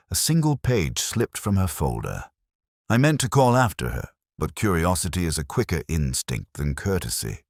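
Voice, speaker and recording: Casting: male actor for brooding, introspective detective telling his own mystery; rock-bottom pitch, formal measured phrasing with lively melodic movement. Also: no room sound, clean studio sound, heavily acted. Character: brooding, sceptical English police detective, mature man, reflective. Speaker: narrator. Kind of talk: scripted first-person mystery narration, literary.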